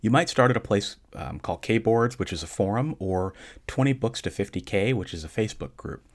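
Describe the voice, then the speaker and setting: A man hosting his own podcast, giving calm, measured advice to listeners in a relaxed, conversational way with a dry, deadpan humor. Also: fairly low pitch. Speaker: podcast host answering listener questions. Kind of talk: casual solo podcast talk.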